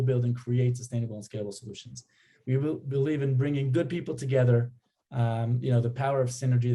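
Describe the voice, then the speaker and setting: A man's voice: mid-range voice, mellow. A man hosting a business community interview, introducing the session and putting questions to a guest. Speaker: interview host for a business network. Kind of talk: welcoming scripted introduction and interview.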